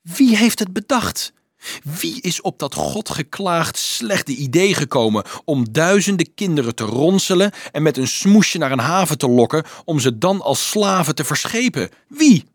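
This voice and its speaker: A man's voice: medium pitch, sing-song, slightly rough, no room sound, studio quality. A man voicing an indignant, disbelieving teenage boy who questions insistently in a dramatised audiobook reading. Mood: angry, accusing. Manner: urgent, insistent questioning, halting with shock.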